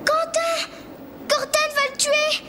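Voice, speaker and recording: A woman voicing a bossy, excitable young girl in a French-dubbed nineties cartoon, with a scolding, urgent manner. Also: very high voice, slow. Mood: alarmed, scolding. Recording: loud music behind cartoon dialogue.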